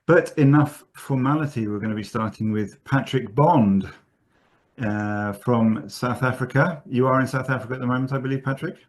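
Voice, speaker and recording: A man moderating an online conference session, informal and halting as he gives instructions and hands over to speakers. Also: medium pitch. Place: online video call.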